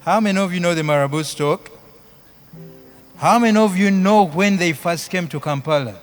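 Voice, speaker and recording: A man's voice: high-pitched, moderate pace, soft background music. A man with a Ugandan accent performing live spoken word poetry, chanting and singing with theatrical repetition.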